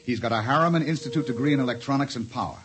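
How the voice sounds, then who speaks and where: An actor playing a gruff, impatient American spaceship captain in a 1950s radio drama. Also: male voice, medium pitch, rapid-fire, close to an everyday voice. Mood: irritated. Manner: gruff, commanding. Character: brusque, impatient, stern male spaceship captain. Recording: 1950s radio recording with music.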